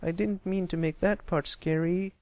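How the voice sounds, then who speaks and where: A man's voice: high voice, fairly even intonation, moderate pace, no echo, dull audio, pitched above the performer's own voice, lightly acted. A man voicing a brash, boastful young tomboy pony filly in a storybook reading, talking defensively. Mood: indignant. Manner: loud, defensive, bragging.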